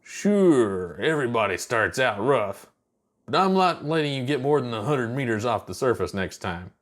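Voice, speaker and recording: A man's voice: mid-range voice, lively intonation, normal speed, pitched above the performer's own voice, lightly acted. Man acting a folksy veteran space pilot. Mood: casual, instructing. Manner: casual, folksy, drawling. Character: gruff, easygoing veteran space pilot and flight instructor.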